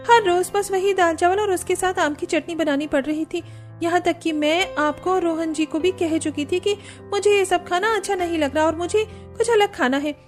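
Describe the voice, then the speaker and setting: A woman's voice: very high voice, pitched above the performer's own voice. A woman voicing an aggrieved young woman in an Indian family cartoon, complaining insistently. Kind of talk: acted cartoon story dialogue.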